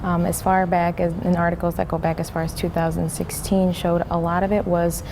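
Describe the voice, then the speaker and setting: Young woman nurse, lowish voice, earnest, methodical, noisy recording. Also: level tone, a little fast, vocal fry. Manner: earnest, methodical, frequent ums and restarts. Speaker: registered nurse guest. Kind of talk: interview answers.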